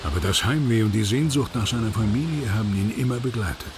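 A man reading scripted documentary narration in a lively voice over music. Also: lowish voice, fast, noisy background.

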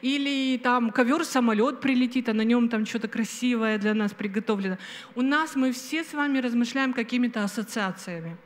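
A middle-aged woman delivers a sermon from a stage, holding a microphone. She speaks slowly and with emphasis, pausing often and filling gaps with hesitations. Her voice rings out with a long reverb from the hall. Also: on the high side. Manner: slow, emphatic preaching with many pauses and fillers. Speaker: preacher. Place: stage of a large hall with an audience. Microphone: handheld microphone.

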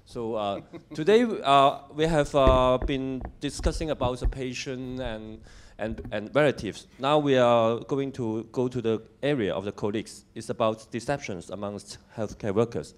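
A man's voice: medium pitch, moderate pace, hardly any pauses. A man chairing a seminar, introducing the next speaker with many hesitant fillers into a handheld mic. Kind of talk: formal introduction. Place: seminar room.

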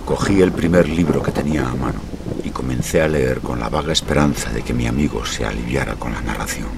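Serious gentleman storyteller, horror radio play, super low voice, noisy ambience behind. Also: gravelly. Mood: grave, uneasy. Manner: measured, literary, dramatic. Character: grave, rational gentleman narrator and concerned friend. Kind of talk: scripted audio drama narration.

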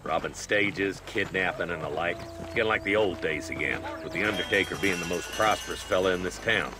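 An actor as a deep, gruff Old West marshal. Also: male voice, a little fast, over music, close to an everyday voice. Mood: gruff, urgent. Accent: American West. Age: older. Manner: gruff, blunt, folksy. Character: tough, weary, no-nonsense older Old West marshal. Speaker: town marshal. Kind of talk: dramatic video game acting.

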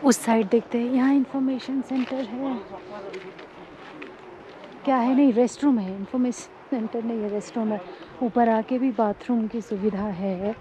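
A woman's voice: fairly high pitch, moderate pace. Chatty woman vlogging outdoors, windy. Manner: chatty and excitable, pointing out sights. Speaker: travel vlogger. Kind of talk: casual travel vlog narration. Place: outdoors on a sunny volcano hiking trail. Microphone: camera held at arm's length. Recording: dull outdoor phone audio with wind noise.

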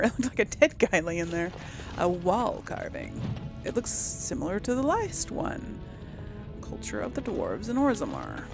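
A woman hosting a casual game playthrough, chatty and hesitant as she thinks aloud. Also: mid-range voice. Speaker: gaming video host. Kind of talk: casual let's play commentary.

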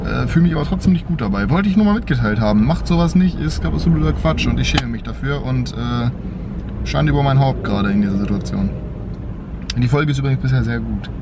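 A man's voice: mid-range voice, fast, heavy background noise. A young man in his twenties, chatting casually in a weekly vlog with a slight cold, rambling and poking fun at himself, filmed on a camera held at arm's length. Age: 20s. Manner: chatty, self-mocking, rambling asides. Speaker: vlogger. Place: home kitchen and inside a car. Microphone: handheld camera at arm's length.

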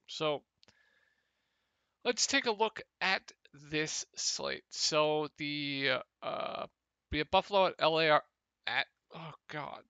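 A young man with a slightly hoarse, gravelly voice, casually talking through fantasy football picks over a screen share, with many ums. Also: fairly high pitch, slow, no echo. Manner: relaxed, lots of ums. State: a little hoarse. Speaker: fantasy sports analyst.

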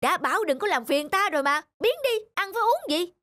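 An actor playing a greedy, suspicious old rich man in a folktale cartoon, muttering and exclaiming excitedly, with music underneath. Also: extremely high pitch, fairly quick, no echo, full character voice. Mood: irritated, frantic. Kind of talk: animated folktale cartoon acting.

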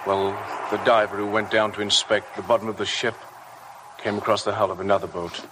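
A dutiful, worried man of the estate, played by an actor in 1950s radio style. His voice sits fairly low. The old broadcast has clear background noise. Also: level tone, brisk, fairly bright tone, close to an everyday voice. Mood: grave, worried. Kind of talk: 1950s radio drama acting. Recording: noisy old 1950 radio broadcast recording.

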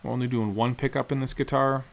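A man in his forties, a guitar builder, calmly explaining each step of his work in a matter-of-fact how-to narration in his workshop. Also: mid-range voice, quick pace, vocal fry. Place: cluttered workshop indoors.

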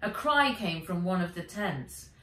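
A middle-aged woman reading an adventure story for children aloud, with expressive, dramatic, emphatic delivery. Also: on the low side, unhurried, far from the mic. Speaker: author reading her own book aloud. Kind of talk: dramatic audiobook-style narration for children. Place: indoors, bright room with armchair. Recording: roomy, echoey, away from mic.

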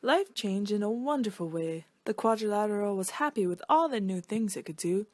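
A woman reading a children's picture book aloud in an expressive storytelling style. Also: medium pitch, a little fast, no room sound.

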